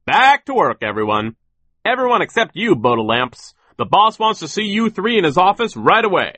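A bullying foreman from a children's storybook, acted out by a man. He barks his orders in a raised, high-pitched shout. The sound is close and dry. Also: sing-song, normal speed, dry recording, heavily acted. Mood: barking, domineering. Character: cruel, bellowing male lumber mill foreman.

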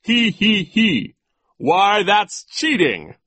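A childish, pompous man in charge of a school, from a children's storybook performed by a woman. His voice is pushed high and forceful, swooping about as he mocks and bosses everyone with gloating relish. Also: wildly swooping pitch, measured pace, husky, no echo, exaggerated voice. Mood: gleeful. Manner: mocking, bossy, gloating. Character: pompous, childish, mocking male vice principal, gleefully cruel.

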